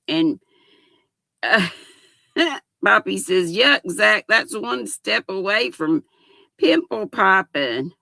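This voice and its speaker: Older lady chatting with her stream viewers, giggly and folksy, kinda gravelly, dry close mic. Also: sits low, a bit bright, no echo. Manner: chatty, folksy, giggly, reads chat aloud. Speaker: livestream host. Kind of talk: casual chat reading viewer comments.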